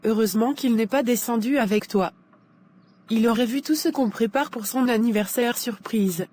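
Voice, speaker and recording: A woman's voice: fairly high pitch, moderate pace, a little mellow, a little hiss or hum, close to an everyday voice. A woman playing a caring advising friend. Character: caring young female friend giving advice.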